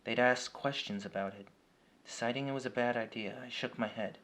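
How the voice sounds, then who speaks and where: A man's voice: medium pitch, fairly quick, a bit bright. A man reading a scripted first-person horror story as its storyteller. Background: music.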